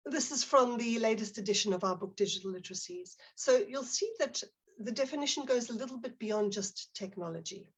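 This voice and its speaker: A woman's voice: on the high side, brisk, slightly rough. South African woman presenting a webinar. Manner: friendly, reads out chat replies and prompts the audience. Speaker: teacher trainer, webinar presenter. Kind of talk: live online webinar presentation. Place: home office near Barcelona.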